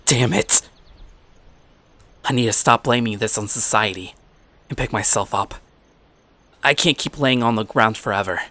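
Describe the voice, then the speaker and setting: A man's voice: lowish voice, normal speed, long pauses, bright, forceful tone, no echo. A man playing a bitter, downtrodden teenage schoolboy who narrates his own story in a dramatic first-person style. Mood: weary, determined. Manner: brooding, self-pitying.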